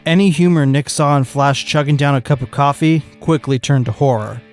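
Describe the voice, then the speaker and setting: A man's voice: a little high, fairly quick. A man reading fan fiction as narrator, in scripted storytelling style. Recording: music under the voice.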